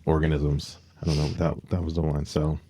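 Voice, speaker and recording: A man's voice: a bass voice, flat delivery, moderate pace, dark tone, no room sound. A man hosting a podcast, explaining things in a casual discussion and thinking aloud as he goes. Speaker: podcast host.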